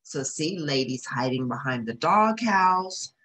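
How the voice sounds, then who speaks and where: Low-voiced, unhurried woman reading a storybook. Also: lively intonation, a bit bright. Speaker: storybook reader. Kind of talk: read-aloud children's story with asides. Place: small indoor room.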